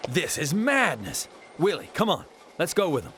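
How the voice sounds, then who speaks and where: An actor playing an excitable, self-important comic sidekick in a video game, with a theatrical delivery. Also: male voice, fairly high pitch, moderate pace, a little hiss or hum. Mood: excited, indignant. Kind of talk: theatrical game cutscene acting.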